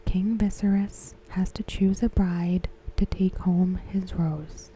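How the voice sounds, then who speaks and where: A woman softly whispering a scripted recap in ASMR style, close to the microphone, with gentle, deliberate phrasing. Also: mid-range voice, a little hiss or hum, rough recording. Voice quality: soft, breathy, mostly whispered. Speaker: ASMR host. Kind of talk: ASMR, softly reading a scripted episode synopsis.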